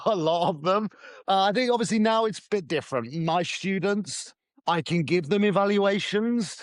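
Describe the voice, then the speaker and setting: Man, higher-pitched voice, dry close sound, chatty, laughs a lot, hunts for words. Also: no room sound. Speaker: podcast co-host, teacher. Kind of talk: casual conversational podcast.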